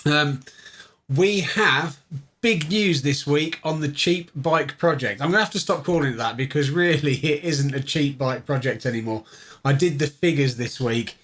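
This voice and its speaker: A man's voice: on the high side, moderate pace, a bit bright. A British man hosting a casual vlog, chatting informally and playfully, often as if thinking aloud. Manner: informal, playful, talks to himself. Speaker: vlogger, livestream host.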